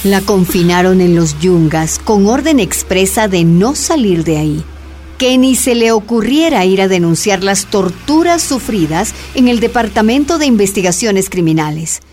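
A woman's voice: medium pitch, expressive, normal speed, darkish tone. A woman reading scripted narration for a radio drama. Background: music.

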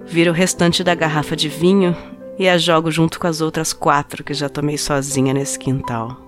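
A woman's voice: low voice, little pitch movement, normal speed, natural voice. A woman acting a heartbroken young woman who tells the story of her lost love in an intimate, confessional way. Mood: bitter, reflective. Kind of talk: dramatized first-person short story narration in a podcast. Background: music under the voice.

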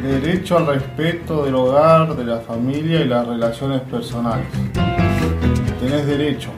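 A man's voice: mid-range voice, moderate pace, loud music behind the voice. A man reading scripted narration aloud as a narrator.

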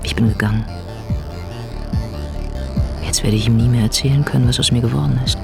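An actress playing a tough, bitter grieving daughter in a creaky-voiced radio drama monologue. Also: female voice, very deep voice, fairly even intonation, brisk. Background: music, electronic hum.

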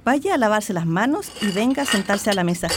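A woman's voice: mid-range voice, expressive, fairly quick, close to an everyday voice. A woman playing an anxious, bossy but affectionate Chilean mother in a radio drama. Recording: noisy, clattering dishes and clicks.

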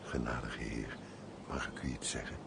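Very deep, gravelly old manservant. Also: male voice, steady tone, normal speed, in a whisper. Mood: meek, concerned. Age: older. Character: old, devoted, deferential manservant of a gloomy master. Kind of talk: Dutch radio play acting.

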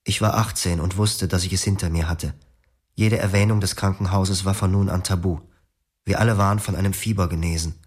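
A man narrating his own story in a radio drama in a quiet, reflective way. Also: low-pitched, fairly even intonation, brisk. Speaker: first-person narrator.

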